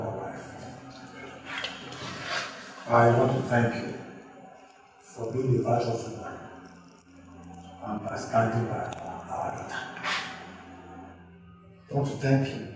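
A man acting as a solemn Nigerian family elder in a film drama, speaking deliberately with halting pauses and questioning hums. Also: mid-range voice, steady tone, close to an everyday voice. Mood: solemn, grateful. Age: middle-aged. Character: solemn Igbo family elder, earnest and insistent. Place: living room. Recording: echoey room, distant mic, faint music.

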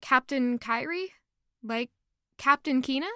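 A woman's voice: sits high, unhurried, sharp tone, clean tone, no room sound, studio quality. A woman playing a sweet, curious young noble lady in an audio drama, polite and inquisitive.

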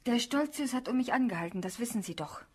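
Pert, high-voiced young girl. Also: expressive, fast talker, husky. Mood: hurt, defiant. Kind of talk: German radio drama acting.

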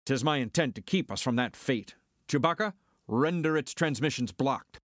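A man as a lively, cocky smuggler pilot. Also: mid-range voice, normal speed, no echo, studio quality, lightly acted. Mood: wary, bold. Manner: theatrical, declaiming. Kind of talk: Shakespearean dramatic reading.

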